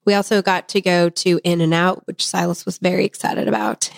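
A woman, co-hosting a casual podcast chat; she is talkative, laughs easily, and her voice is still slightly hoarse from laryngitis. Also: on the low side, steady tone, normal speed, no echo. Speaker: podcast co-host.

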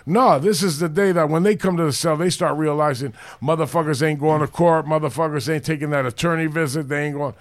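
A middle-aged man from Los Angeles with a deep, loud voice, telling blunt street stories full of swearing in a casual chat. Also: fairly even intonation, brisk, few pauses. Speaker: podcast co-host.

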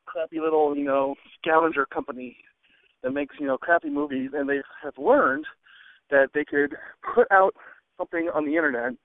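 A man caller leaving a casual, rambling voicemail in hesitant, halting phrases over a phone line. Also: a little high, dry recording. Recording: thin, dry phone line.

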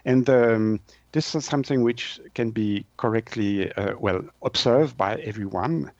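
A man with a French-speaking Swiss accent, native in French, gives explanatory answers as a guest. He searches for words and often restarts in a halting way. The sound is dry and slightly dull, like lo-fi audio. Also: on the low side, darkish tone, no echo. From Switzerland. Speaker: guest, naturopath and author.

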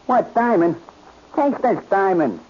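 A man's voice: high voice, very animated, slow, full character voice. An actor playing a greedy, menacing male henchman, talking tough and threatening in 1940s radio crime style. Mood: scheming. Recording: muffled, noisy old radio recording.

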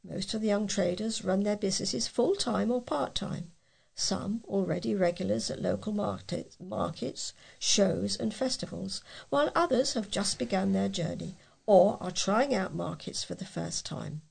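Creaky, husky British woman reading news. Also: mid-range voice, normal speed, a little mellow. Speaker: volunteer news reader. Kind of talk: read-aloud local news.

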